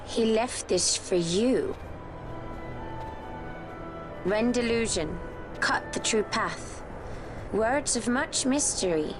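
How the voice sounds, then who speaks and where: Lady doing a slow solemn wise nonhuman warrior woman, video game cutscene, music behind. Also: mid-range voice, close to an everyday voice. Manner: measured, solemn, archaic phrasing. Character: calm, wise, enigmatic warrior woman of a non-human race.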